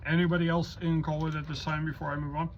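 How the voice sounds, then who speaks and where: A man's voice: on the high side, flat delivery, fast talker. A man acting as net controller on a ham radio roll call, calling stations in informal procedural patter with some hesitation. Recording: muffled lo-fi audio with clear noise.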